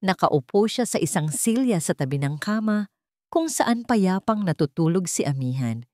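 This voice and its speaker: A woman reads a dramatic story in a fairly low voice that swings widely in pitch. She is an emotive, solemn storyteller, recorded dry and close. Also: sing-song, normal speed, darkish tone, no echo. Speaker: audio drama narrator. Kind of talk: dramatic scripted story narration.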